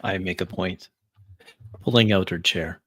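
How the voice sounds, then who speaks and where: Male roleplay player, low register, unhurried pace, deliberate dramatic first-person narration. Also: sharp tone, husky. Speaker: player in a tabletop roleplaying game.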